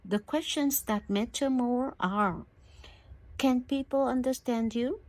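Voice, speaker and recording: A woman giving a prepared English lesson, speaking in a slow, deliberate and measured way. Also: fairly high pitch, a little mellow, no echo. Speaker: English teacher.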